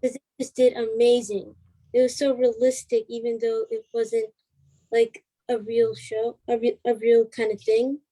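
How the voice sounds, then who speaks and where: A woman with a somewhat high yet mellow voice chats as an interview guest. Her delivery is casual and emphatic. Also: level tone, measured pace, poor audio. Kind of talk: casual chat.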